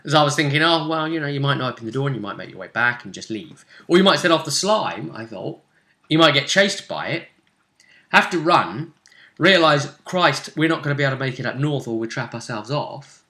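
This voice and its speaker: A man's voice: mid-range voice, animated, brisk, pushed and bright. A British man talking casually and animatedly as game master, recapping a tabletop role-playing session and acting out little asides. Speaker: game master, host of a tabletop RPG vidcast.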